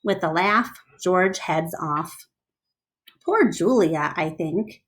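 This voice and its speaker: A woman reading a storybook aloud expressively, like a teacher, pausing to explain things to listeners. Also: on the low side, expressive, a bit bright.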